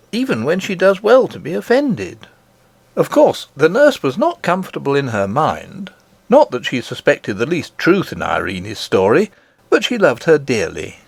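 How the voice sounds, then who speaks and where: A man reading a children's storybook aloud as expressive scripted narration. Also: fairly high pitch, gravelly, dry recording. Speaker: audiobook reader.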